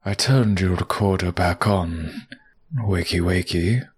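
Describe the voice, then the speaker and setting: Man playing a very husky, sinister cult professor. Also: low voice, slow, heavily acted. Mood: calm menace. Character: calm, sinister male professor, cult leader. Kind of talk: audio drama acting.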